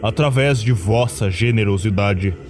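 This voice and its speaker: A man's voice: fairly low pitch, darkish tone, soft background music, natural voice. A man with a Brazilian accent voicing a solemn, ceremonial priest of a cult of ancient gods in a horror audio drama. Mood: solemn, reverent. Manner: solemn, ceremonial, drawn-out delivery. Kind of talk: scripted ritual invocation for horror podcast.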